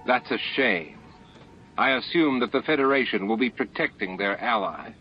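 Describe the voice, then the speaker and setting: A man's voice: medium pitch, expressive, normal speed, husky. A man voicing a smug, supercilious male alien military commander with falsely courteous manners in an audiobook. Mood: wary. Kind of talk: audiobook character dialogue. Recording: muffled lo-fi audiobook with music.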